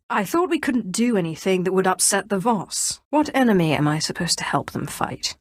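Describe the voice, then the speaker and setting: An actress voicing a cold, haughty, calculating Sith woman in a video game, with crisp, imperious and deliberate delivery. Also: lowish voice, no room sound, clean studio sound. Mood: measured, persuasive. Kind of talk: video game character dialogue.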